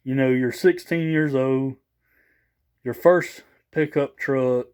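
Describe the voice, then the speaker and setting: A man's voice: medium pitch, slow pace, a little mellow, smooth. A slightly tired man, rambling casually in a folksy, unscripted show-and-tell for a vlog. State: a little tired after work. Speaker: vlogger showing off a new CB radio.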